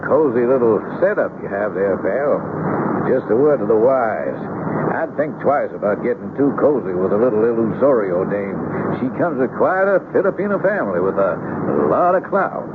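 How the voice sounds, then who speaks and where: A man's voice: mid-range voice, expressive, moderate pace, natural voice. An actor playing a slangy, teasing American airman buddy in an old-time radio drama. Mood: needling. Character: slangy, needling military airman buddy, a wisecracker. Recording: noisy, muffled old radio recording.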